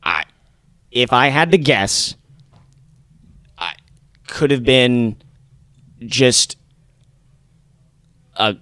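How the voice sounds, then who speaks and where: A man plays an irritated male science student who believes none of it. His medium-pitched voice is blunt and exasperated, recorded dry with little room sound. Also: animated, very slow, pauses often, sharp tone, no room sound, heavily acted. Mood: defensive, irritated.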